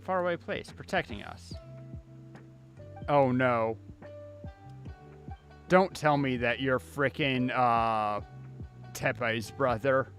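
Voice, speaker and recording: Guy streaming a game, casual wry grumbly commentary, average pitch, music playing behind him. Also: slow, long pauses, a bit bright. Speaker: livestreamer. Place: inside a cold house.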